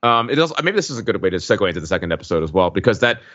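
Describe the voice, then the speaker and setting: Lower-voiced man, halting podcast discussion. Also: big pitch swings, a bit bright, dry recording, muffled recording. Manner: halting, repeats words while forming thoughts. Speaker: podcast co-host. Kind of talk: casual explanatory discussion.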